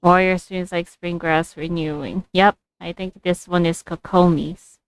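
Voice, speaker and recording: A woman livestreaming a video game, thinking aloud casually in short, halting phrases. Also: lowish voice, fairly even intonation, smooth. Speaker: game streamer. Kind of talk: casual livestream gameplay commentary.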